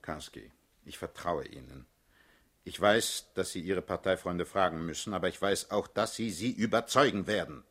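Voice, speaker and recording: An actor playing a cool, hard-headed party boss in a German radio drama, speaking with curt authority. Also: male voice, low voice, normal speed, fairly bright tone, breathy edge, exaggerated voice. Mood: cool, controlled. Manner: authoritative, curt, clipped orders.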